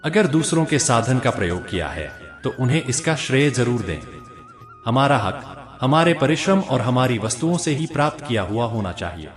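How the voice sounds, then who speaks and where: Male storyteller for scripted moral tales, animated pitch movement, mid-range voice, music underneath. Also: normal speed. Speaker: storyteller and channel host. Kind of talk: scripted moral folk-tale narration.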